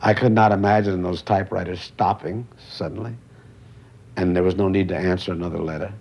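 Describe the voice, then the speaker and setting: Older guy, deep creaky voice, measured and emphatic, interview with a bit of hiss. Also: flat delivery, a little mellow. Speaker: photojournalist interviewed. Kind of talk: reflective documentary interview.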